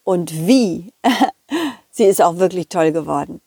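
A woman's voice: fairly low pitch, lively intonation, slow pace, mellow, breathy edge, dry recording, clean studio sound, exaggerated voice. A woman voicing a cheerful, loyal male dog who tells his own adventure to children in a chatty, giggly way. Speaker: storyteller. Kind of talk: children's audio play narration.

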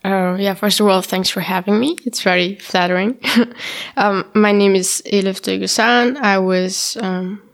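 A young woman from Turkey, raised in Austria, talks about her writing as a podcast guest. Her voice sits at an ordinary middle pitch, and she chats loosely, laughing often and acting out what she said to herself. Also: steady tone, measured pace. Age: young adult. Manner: chatty, laughs often, restarts and quotes herself. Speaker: guest, poetry slammer. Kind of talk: casual interview chat.

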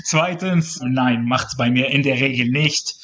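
A man's voice: mid-range voice, a little slow, a bit bright, dull audio. A man from Israel, a native Hebrew speaker with an Israeli accent, explaining earnestly as a guest in a casual podcast conversation, searching for words at times. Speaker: interview guest, journalist.

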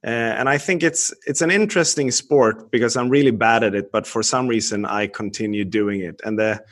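A man from Sweden hosts a podcast at a middle pitch. He reads a scripted opening, then talks more loosely with fillers and pauses. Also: a bit bright. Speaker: podcast host. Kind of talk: podcast interview.

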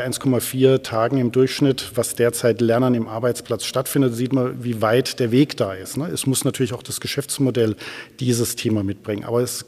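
Mellow-voiced man explaining in a reverberant hall. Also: mid-range voice. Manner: discursive, frequent tag questions. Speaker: interview guest, transformation researcher. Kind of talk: explanatory expert talk in an interview.

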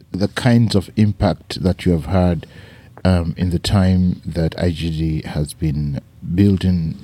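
A man hosting a radio interview, introducing his guest and asking questions in a formal, unhurried way. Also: deep voice, mellow, no room sound. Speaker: radio show host.

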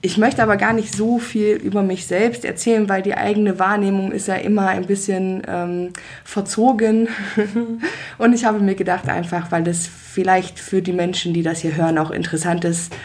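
A woman in her thirties hosting a personal podcast, talking in a relaxed way with hesitant fillers. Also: mid-range voice, moderate pace. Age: 30s. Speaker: podcast host.

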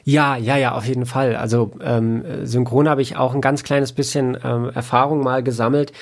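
A man's voice: mid-range voice, flat delivery, moderate pace. A man speaking as a guest in a casual interview, explaining things in a rambling, conversational way with many filler phrases. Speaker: interview guest, audio drama producer and author.

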